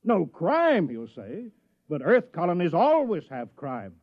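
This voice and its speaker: Flustered fussy small town mayor, bouncy intonation, muffled old radio play recording. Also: male voice, sits high, huge pitch swings, unhurried, full character voice. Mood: earnest, pleading. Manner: flustered, pleading, earnest, folksy. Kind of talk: 1950s radio drama acting.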